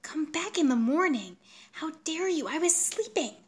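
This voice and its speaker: A woman's voice: sits high, expressive, a little slow, pitched above the performer's own voice. A woman voicing an indignant, guarded young woman in a story, curt, firm and exasperated. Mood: hushed indignation.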